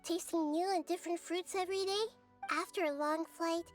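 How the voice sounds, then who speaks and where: An actress playing a cheerful, gentle young girl in a fantasy game, speaking in a sweet, poetic, earnest way. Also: extremely high pitch, fairly even intonation, a little fast, soft background music. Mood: warm, grateful. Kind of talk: scripted game character dialogue.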